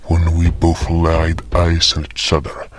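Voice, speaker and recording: Slow, deep-voiced man playing a solemn hunter. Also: completely flat delivery. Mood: grave, tense. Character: solemn hunter recounting a monster fight. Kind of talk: scripted dramatic fantasy story narration. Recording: music bed behind the voice.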